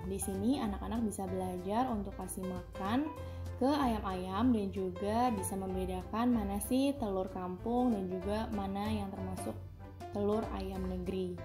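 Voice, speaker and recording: Woman narrating over clear music. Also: mid-range voice. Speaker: family vlogger narrating. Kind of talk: casual vlog voice-over. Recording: music behind the voice. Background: clear background music.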